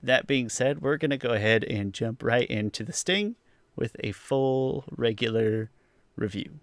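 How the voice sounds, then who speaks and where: A man's voice: mid-range voice, animated, unhurried, no echo. A man co-hosting a podcast, talking through an episode review in a casual, rambling way. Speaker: podcast co-host.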